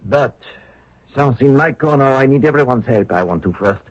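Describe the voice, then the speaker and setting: An actor playing a grave French Protestant minister in a radio drama, measured and quiet. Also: male voice, mid-range voice, animated, dry recording, close to an everyday voice. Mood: grave, urgent. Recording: muffled old radio broadcast.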